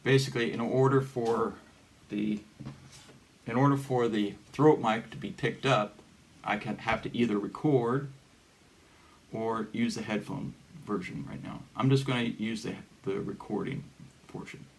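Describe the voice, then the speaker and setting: A man with a lowish voice walks through a gear demonstration casually and off the cuff. He rambles and halts as he explains each piece. The recording has clear background noise and clicks. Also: steady tone, measured pace. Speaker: hobbyist presenter giving a gear tutorial.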